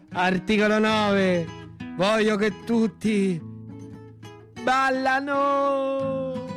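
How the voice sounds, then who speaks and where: An actor playing a naive, lame country youth recalling wartime in a theatrical radio monologue, colloquial and repetitive, with a central Italian accent. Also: male voice, sits high. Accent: central Italian, Lazio. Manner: colloquial, breathless, repetitive, wide-eyed.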